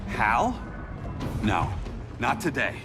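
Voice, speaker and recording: A man's voice: mid-range voice, wildly swooping pitch, very slow, heavily acted. A man playing a weary, earnest superhero in a video game, wary and seeking redemption. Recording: loud music behind.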